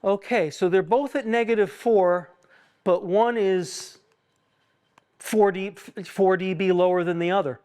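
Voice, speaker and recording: A man leading an informal technical workshop, thinking out loud in a halting, self-questioning way with explanatory asides. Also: sits high, steady tone. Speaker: acoustics consultant leading a tuning workshop.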